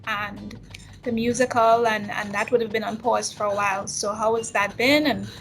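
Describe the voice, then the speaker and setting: A woman's voice: medium pitch, steady tone, normal speed, fairly bright tone, soft background music, low-quality recording. A woman hosting a casual interview, encouraging her guest and often restarting her questions.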